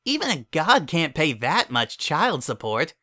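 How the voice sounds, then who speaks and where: A man's voice: on the high side, very animated, measured pace, bright, forceful tone, dry recording, clean studio sound, pitched above the performer's own voice, exaggerated voice. A man voicing a small, precocious filly who argues and nitpicks in a bratty, sleepy way, in a storybook reading. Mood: argumentative. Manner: argumentative, nitpicking, dismissive.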